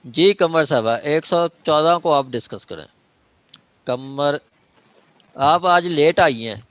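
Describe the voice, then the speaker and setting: A man's voice: a little high, expressive, slow, a bit bright, no echo. A man with a Pakistani accent lecturing on law online, explaining with examples and frequent check-in tags, mixing in English legal terms.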